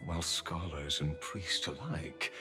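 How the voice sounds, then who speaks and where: A man's voice: extremely low pitch, measured pace. A man voicing a genial, formal court official in a video game, with courtly, theatrical and politely strained delivery. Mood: courteous, intrigued. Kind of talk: English video game voice acting. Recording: game audio with loud music.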